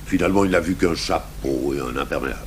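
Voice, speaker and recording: An actor playing a gruff, brooding, stubborn French police commissioner in a 1980s radio drama. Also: male voice, rumbling low, quick pace. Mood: frustrated, brooding. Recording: noisy old radio broadcast recording.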